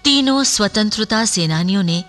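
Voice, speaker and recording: Lady announcer for a radio play, scripted read, average pitch, music behind. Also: animated, normal speed, fairly bright tone. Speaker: narrator and announcer. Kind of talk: scripted radio narration and credits.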